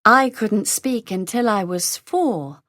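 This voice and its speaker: Woman, lesson dialogue reader: unhurried, pitch rising and falling a lot, dry close sound. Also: expressive, a bit bright, no room sound. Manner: slow, carefully enunciated, lines read three times over. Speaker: dialogue reader for English learners. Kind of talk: scripted lesson dialogue, each line repeated.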